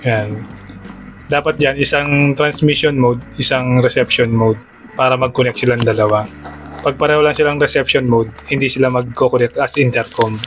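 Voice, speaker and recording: A man's voice: mid-range voice, steady tone, normal speed, a bit bright. Filipino man giving a how-to over music. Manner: plain, instructional, conversational. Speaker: motorcycle vlogger giving a product tutorial. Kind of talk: casual step-by-step how-to narration in a vlog.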